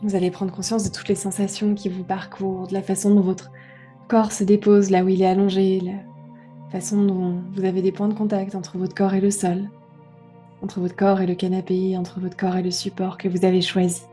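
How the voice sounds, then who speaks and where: A woman guiding a relaxation meditation, giving gentle, unhurried instructions with pauses. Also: mid-range voice, little pitch movement, soft background music. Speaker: yoga nidra guide.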